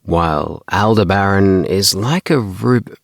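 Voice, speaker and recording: A man's voice: low voice, slow, gravelly, no room sound, voice pushed up, full character voice. A troubled young man travelling in secret, played by a male reader. He speaks in an earnest, reserved way, close and dry, as a character in a science fiction audiobook. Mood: guarded, explaining.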